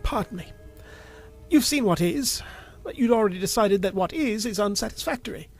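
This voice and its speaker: Storybook old hermit , played by a male narrator: spry, enigmatic, direct, theatrically dignified, raised pitch, music bed. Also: expressive, normal speed. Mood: earnest, intense. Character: spry eccentric old time lord hermit, intense, enigmatic. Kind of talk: storybook character dialogue.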